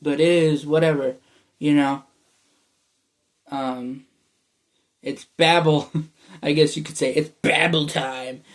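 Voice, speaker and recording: Young American man, slightly raised pitch, loose rambling solo vlog delivery with lots of fillers. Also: fairly even intonation, takes it slowly, long pauses. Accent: American, often heard as Canadian. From United States. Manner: meandering, many fillers and restarts, chuckles. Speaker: vlogger. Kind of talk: casual rambling monologue.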